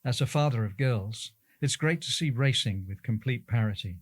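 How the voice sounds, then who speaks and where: A man's voice: medium pitch, moderate pace, breathy edge, no room sound. A man reading out prepared interview answers.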